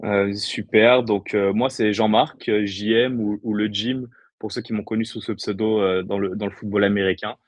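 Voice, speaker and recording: A man's voice: lowish voice, steady tone, moderate pace, no room sound. A man in his twenties from the Paris region, chatting casually as an interview guest. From Paris region, France. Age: 20s. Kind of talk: casual chat.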